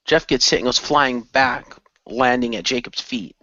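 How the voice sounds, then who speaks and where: A man of medium pitch tells his part of an improvised adventure, moving between narration and character lines. The sound is dry and a little dull. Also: measured pace, pushed and bright, dry recording. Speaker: player in an improvised radio play. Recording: dull lo-fi voice recording.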